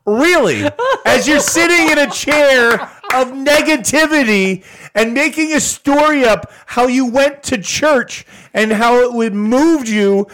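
A man's voice: very high voice, fairly bright tone. A man co-hosting a local politics podcast, ranting in a profane, exasperated way. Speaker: podcast co-host. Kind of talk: angry local politics commentary.

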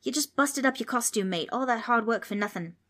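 A woman voicing an excitable, cheeky kid in a children's storybook. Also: on the high side, fairly quick, voice pushed up. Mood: self-scolding.

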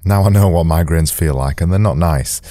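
A man with a hoarse, sore-throated voice, hosting a solo podcast in a candid, rambling way. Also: sits low, moderate pace, dark tone, dry recording, studio quality. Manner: rambling, candid, self-deprecating. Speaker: podcast host. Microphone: boom-mounted studio mic.

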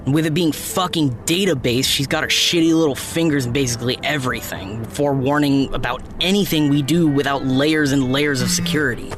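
A man's voice: medium pitch, a little fast, hardly any pauses, over music, natural voice. An actor playing a blunt, frustrated man on the run who plans for his team in an audio drama. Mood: exasperated.

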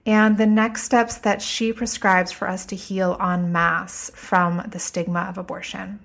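A woman hosting a podcast, speaking a little stuffed up from a cold, earnest and slow on her emphatic phrases. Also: medium pitch, little pitch movement, vocal fry, fairly bright tone. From United States. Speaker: podcast host. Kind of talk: podcast intro monologue.

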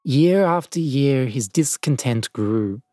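A man narrates a documentary from a prepared script. His voice sits at a medium pitch. The recording is dry, with almost no room around it. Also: animated, slow pace, dark tone, dry recording.

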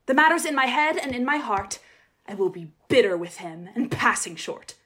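A woman's voice: a little high, animated, normal speed, natural voice. A woman playing a proud young shepherdess in a Shakespeare radio play, dramatic, wavering between scorn and admiration. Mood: indignant, lovesick.

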